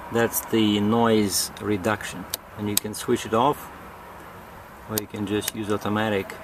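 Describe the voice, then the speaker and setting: A man giving an informal hands-on tutorial in a halting, improvised way, as if thinking aloud. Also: fairly low pitch. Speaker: demonstrator giving setup instructions. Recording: clearly noisy amateur recording.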